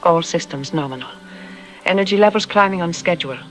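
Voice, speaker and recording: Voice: medium pitch, brisk, a bit bright, faint music behind, natural voice, low-quality recording. An actor playing a confident scientist in an audio drama, reporting readings in a clipped, composed, technical manner. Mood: focused. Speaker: control room scientist.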